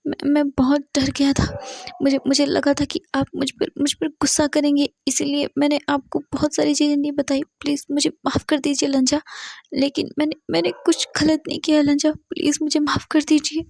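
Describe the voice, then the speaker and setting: A woman's voice: a little high, fairly even intonation, normal speed, darkish tone, husky, no room sound. A woman voicing a tearful young man who stammers and repeats his words as he pleads. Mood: pleading. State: crying.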